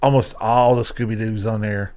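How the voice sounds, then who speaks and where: A man's voice: on the low side, steady tone, moderate pace, a little mellow, smooth. A man co-hosting a casual podcast chat, chiming in with short nostalgic remarks. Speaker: podcast co-host.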